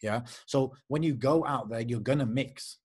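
Young man, podcast host, steady explainer, checks in often, video call from living room. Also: mid-range voice, moderate pace, slightly rough. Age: young adult. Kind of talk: casual podcast chat.

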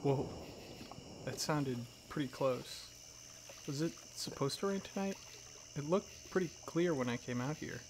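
Man as a soft-spoken, gravelly, caring dragon. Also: fairly high pitch, unhurried, pauses often. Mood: surprised. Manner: soft-spoken, intimate, reassuring. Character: affectionate, caring male dragon friend, gentle and playful. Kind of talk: soft-spoken ASMR roleplay. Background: hiss, crickets and water or rain sounds.

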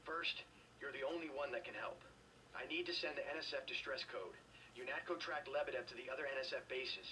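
An actor playing a weakened, earnest rebel double agent in a video game, halting and urgent. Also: male voice, mid-range voice, bright, forceful tone, recorded from a distance, lightly acted, low-quality recording. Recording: game audio filmed off a TV, faint noise.

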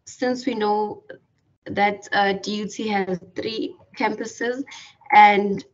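A woman walking through a software tutorial over a screen recording, hesitant with many fillers and restarts. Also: mid-range voice, steady tone, slow. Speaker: tutorial presenter. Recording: distant, dull lo-fi mic.

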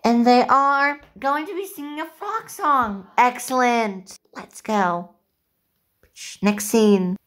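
Bossy, excitable young girl director for doll-play story played by a woman; high voice, unhurried pace. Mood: eager, directing.

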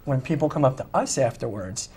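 A man's voice: mid-range voice, lively intonation, brisk, darkish tone, slightly rough. Middle-aged male musician, mid-range voice, conversational guest in a small studio. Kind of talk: conversational interview answers.

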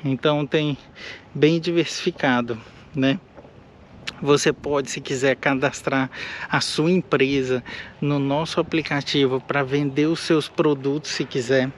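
A man's voice: medium pitch, fairly even intonation, a little slow, a little hiss or hum. A man from Minas Gerais, hosting his own vlog in an airfield hangar, chatting casually with many restarts and fillers. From Minas Gerais, Brazil. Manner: rambling, with frequent restarts and fillers. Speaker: channel host, eye doctor and pilot. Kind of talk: casual walk-and-talk vlog.

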